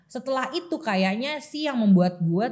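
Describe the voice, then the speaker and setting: A woman's voice: a little high, animated, normal speed, a little mellow. A young woman with a Jakarta Indonesian accent co-hosting a podcast, telling personal stories casually and acting out bits of dialogue. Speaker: podcast co-host. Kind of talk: casual chat, personal storytelling.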